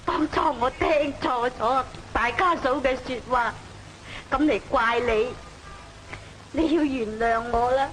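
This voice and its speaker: A high-voiced, aggrieved old mother-in-law. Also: measured pace, a bit bright, low-quality recording. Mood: remorseful. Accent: Hong Kong Cantonese. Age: older. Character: aggrieved, stern elderly mother-in-law of a wealthy family. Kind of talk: old Cantonese opera film acting, part sung. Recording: old film soundtrack with music.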